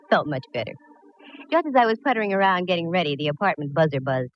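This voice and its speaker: An actress playing a wry, quick-witted female private eye in a 1940s radio detective drama, breezy and wisecracking. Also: on the low side, very animated, soft background music. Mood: exasperated, amused. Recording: noisy, dull vintage radio recording.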